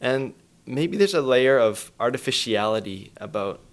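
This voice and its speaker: A man's voice: mid-range voice, expressive, normal speed. A man answering as an interview guest in casual, reflective talk.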